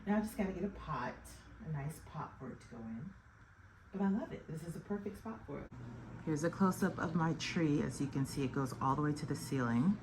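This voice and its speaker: A woman's voice: low-pitched, expressive, a little fast. An actress playing a devout woman facing breast cancer, talking to herself in a questioning, praying way. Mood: cheerful. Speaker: woman awaiting a cancer consult. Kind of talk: inner-monologue acting. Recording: very echoey, distant, noisy.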